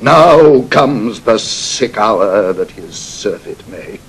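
A husky, careworn old duke. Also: male voice, fairly low pitch, expressive, drawn-out words, fairly bright tone, exaggerated voice. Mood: weary, gloomy. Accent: British. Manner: muttering, hesitant, breaking off in fluster. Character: careworn, aged royal duke, flustered and bewildered. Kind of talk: classical Shakespearean radio acting.

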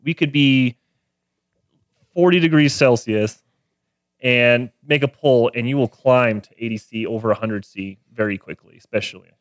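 A man's voice: mid-range voice, moderate pace, no room sound, clean studio sound. A man, chatting casually about cars as a guest mechanic on a podcast. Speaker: guest mechanic and car tuner. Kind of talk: casual podcast car chat. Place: small garage-styled podcast studio. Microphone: close studio mic on boom arm.

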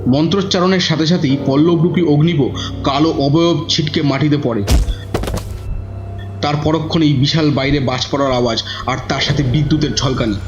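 A man's voice: on the high side, steady tone, normal speed, close to an everyday voice. A man playing a devoted young nephew who narrates a horror audio drama in a dramatic, scripted style. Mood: tense narration. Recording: dull lo-fi, music behind.

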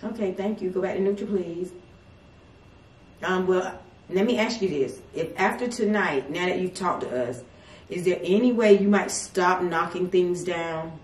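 Calm low-voiced woman questioning spirits. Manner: slow, calm, polite questioning. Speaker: paranormal investigator. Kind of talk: spirit communication session. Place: dim salon at night. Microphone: far camera. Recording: echoey room from far off.

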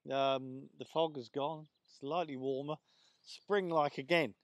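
A man's voice: fairly high pitch, fairly even intonation, slow, no echo. Chatty man outdoors, dry sound. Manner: chatty, rambling with fillers. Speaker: lawn care presenter. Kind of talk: casual outdoor demonstration. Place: outdoors in a large garden. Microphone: clip-on lapel mic.

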